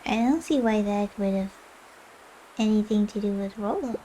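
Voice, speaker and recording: A woman's voice: mid-range voice, moderate pace, warm tone, a little hiss or hum, lightly acted, low-quality recording. A woman voicing a guarded, worried young boy in a storybook video game, hesitant and dryly wry. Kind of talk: video game character read-aloud.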